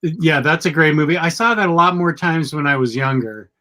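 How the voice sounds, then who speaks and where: Sick guy hosting a live chat, kinda gravelly, rambly, restarts a lot. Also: on the high side, brisk, sharp tone. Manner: rambling, self-correcting, chatty. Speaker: podcast host.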